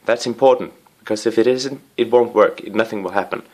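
A man giving a casual hands-on video review in a living room, rambling with apologetic asides and a playful, excitable streak. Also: fairly low pitch, brisk. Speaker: amateur gadget reviewer. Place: living room with wooden floor and rug.

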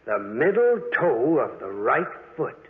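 A man's voice: medium pitch, very animated, measured pace. An actor playing a grave, observant man in a 1940s radio horror drama. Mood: astonished. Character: grave, observant man examining a horrifying death. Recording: tinny 1940s radio recording.

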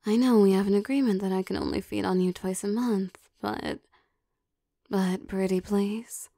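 A woman voicing a possessive, teasing young vampire girlfriend in an intimate, soft ASMR roleplay, coaxing with hesitant pauses. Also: medium pitch, steady tone, gravelly, no room sound, studio quality.

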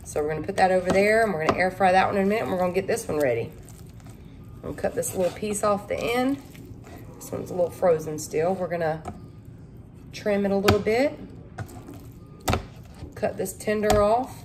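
A woman's voice: medium pitch, animated, a little fast, long pauses, noisy background. Chatty woman cooking at home. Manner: chatty, folksy, addresses viewers as y'all. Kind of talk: casual cook-along talk to camera. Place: home kitchen.

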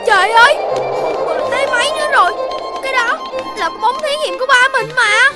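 A woman voicing an earnest, excitable young cartoon puppy with a childlike, expressive southern Vietnamese delivery. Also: very high-pitched, fairly quick. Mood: panicked. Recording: music behind the voice.

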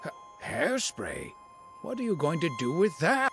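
An actor voicing a small, fussy old demon thief in a video game, raspy and prone to indignant spluttering. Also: male voice, fairly high pitch, huge pitch swings, a little slow, over music, full character voice. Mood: flustered, indignant. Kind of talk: video game cutscene acting.

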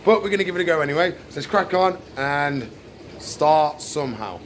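A man's voice: a little high, animated, fairly quick, a bit bright, a little hiss or hum. A man in his thirties chatting casually and informally as he explains what he's doing in an unscripted vlog. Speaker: pet shop vlogger. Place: indoor aquarium shop.

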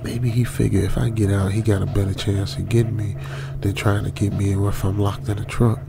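A man's voice: on the low side, little pitch movement, a little fast, creaky, noisy background. A man playing a frightened, stranded young American trucker in a radio drama, nervous and repetitive, often muttering or whispering. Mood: panicked whispering. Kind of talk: old-school radio drama with ASMR whispering. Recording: music behind the voice.

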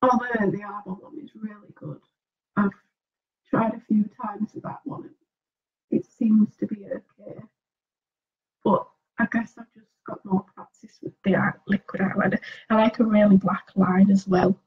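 A woman with a mid-range voice talks casually through her makeup, sniffly from a cold. She chats to her viewers with questions and drops into soft murmured asides while she concentrates. The audio sounds a bit dull and lo-fi. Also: mid-range voice, fairly even intonation, measured pace. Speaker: beauty vlogger.

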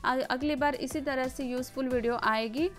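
A woman's voice: sits high, fairly even intonation, moderate pace, a bit bright. A woman narrates a casual home cooking voice-over in a hesitant, explanatory way, often repeating words. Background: background music.

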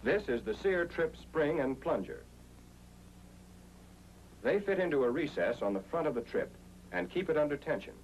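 A man's voice: fairly high pitch, sing-song, normal speed, long pauses, darkish tone, slight background noise, poor audio. An American man reading a scripted 1940s instructional film narration in an emphatic, explanatory way, on an old film soundtrack.

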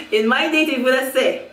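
A woman's voice: high voice, a little slow, fairly bright tone, recorded from a distance, a little hiss or hum. Chatty Jamaican woman in an echoey room. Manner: chatty, laughs often, repeats herself. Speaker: hair vlogger. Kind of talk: casual product review. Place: small room at home, indoors. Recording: echoey room, a little off mic.

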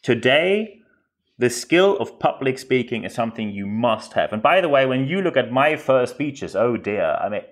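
A man with a German accent talks alone as a podcast host. His voice sits at a medium pitch. He speaks without a script in an emphatic way, leaning on repetition and rhetorical questions. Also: very animated, moderate pace. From northern Germany. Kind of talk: unscripted solo podcast commentary.

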